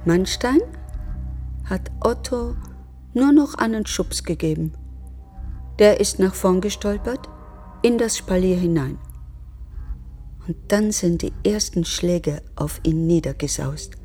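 Slow, grave woman storyteller. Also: on the low side, expressive, mellow, slightly rough, soft background music, exaggerated voice. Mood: grave, restrained. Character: grave woman recounting cruel boarding-school punishment. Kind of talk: radio drama monologue.

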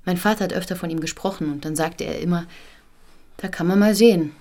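Actress as a low-voiced, curious chambermaid. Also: female voice, fairly quick, mellow, lightly acted. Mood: naively curious. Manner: naive, deferential, questioning. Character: polite, curious female chambermaid. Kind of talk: naturalistic radio play dialogue.

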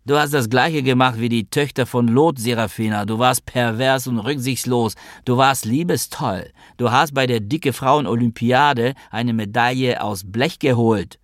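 A man's voice: fairly low pitch, moderate pace, no room sound, studio quality, close to an everyday voice. A man playing a hurt, sarcastic younger brother, grown up and confrontational, in a literary audiobook story. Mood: bitter.

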